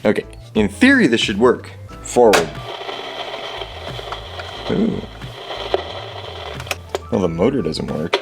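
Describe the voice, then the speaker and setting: A man's voice: on the low side, huge pitch swings, slow pace, gravelly, long pauses, loud music behind the voice. A man narrating a hands-on repair vlog casually from his garage, with a jokey, sarcastic manner and a habit of talking to objects. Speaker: host of a hobby repair video. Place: home garage.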